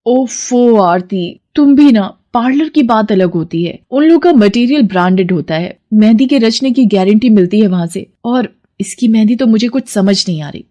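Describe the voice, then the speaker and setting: A woman voicing a snobbish, dismissive rich lady in an animated story, with a haughty, fussy manner. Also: on the high side, moderate pace, few pauses, natural voice. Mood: disdainful. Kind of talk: animated Hindi children's story acting.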